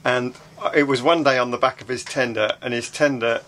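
A man's voice: medium pitch, steady tone, fairly bright tone. Dry older man, noisy camera mic. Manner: dry, correcting, halting with restarts. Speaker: sailing vlog co-host. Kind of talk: casual chat to camera. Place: inside a small yacht cabin. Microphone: handheld action camera close to face.

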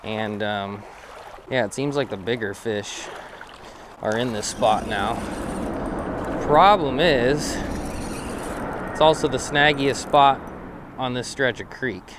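A man's voice: medium pitch, slow, long pauses, background noise. A young man casually talking to camera while fishing on a creek bank, rambling and self-deprecating, recorded on a head-mounted action camera. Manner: laid-back, rambling, self-deprecating, many "uh"s. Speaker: fishing vlogger. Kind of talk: casual outdoor commentary to camera. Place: outdoors on a creek bank under a bridge.

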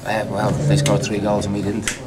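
A man's voice: on the low side, monotone, moderate pace, distant mic, very noisy. A man speaking as a football manager in a post-match press interview, curt and blunt. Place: room with reporters, table. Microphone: reporters' phones held out.

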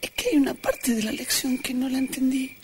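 A woman's voice: sits high, fairly even intonation, a little fast, a little mellow, a little hiss or hum, natural voice. A woman playing a confused, easily exasperated young piano student with an Argentine accent, in a comic radio soap. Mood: hesitant.

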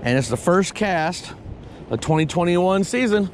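A man's voice: high-pitched, expressive, a little slow. A middle-aged American man chatting casually to camera in a rambling, folksy way, as an outdoors vlogger. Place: moving truck cabin, then outdoors by a windy river and lakeshore. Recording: loud wind and vehicle noise.

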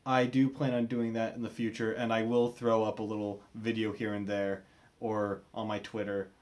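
A man's voice: on the low side, flat delivery, moderate pace, a little mellow. Young American man vlogging, hesitant and casual. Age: 20s. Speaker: video creator. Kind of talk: casual vlog update to camera. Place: small bedroom, indoors.

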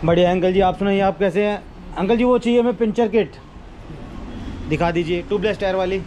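A man's voice: high voice, a little fast, darkish tone, lo-fi sound, slight background noise. A cheerful, chatty young man narrating a road-trip travel vlog from a moving car, often laughing. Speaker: vlogger. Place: inside a moving car on a highway.

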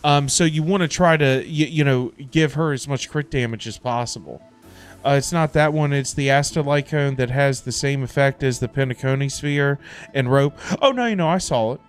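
A man's voice: mid-range voice, normal speed, clear, resonant tone. A middle-aged American man hosting a casual gaming livestream, rambling and repeating himself in loose slang. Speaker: livestream host, gamer. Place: indoor home streaming setup. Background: background music.

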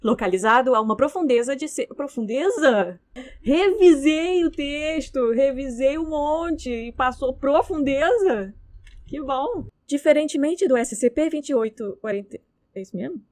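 A woman's voice: high-pitched, lively intonation, a little slow, darkish tone. A Brazilian woman reading scripted narration in outtakes, slipping into casual, self-mocking muttered asides. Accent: Brazilian Portuguese. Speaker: narrator of horror fiction records.

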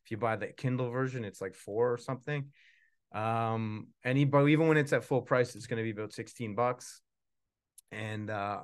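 A man in his forties chatting casually at a live book launch as a puppeteer and author, rambling and self-deprecating. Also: on the low side. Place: small indoor room with puppet stage.

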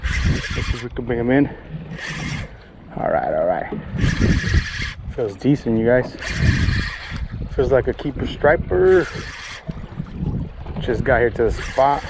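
A man in his thirties talks to his viewers in a fairly low voice. His commentary is casual and full of repeated catchphrases. It is recorded outdoors on a small boat, with clear noise around him. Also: expressive, slow pace, pauses often. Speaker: fishing vlogger. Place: small open motorboat on a river. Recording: noisy open-air boat recording.

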